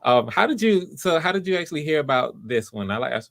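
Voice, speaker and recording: A man's voice: on the high side, sing-song, fast, gravelly. A man hosting auditions in a casual, encouraging way, often repeating his words. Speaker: audition host.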